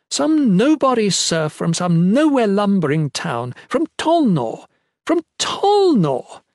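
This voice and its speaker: A man voicing a high-born young woman in an audiobook, bitter, imperious and anguished, speaking with theatrical, sarcastic emphasis. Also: a little mellow, dry recording, clean studio sound.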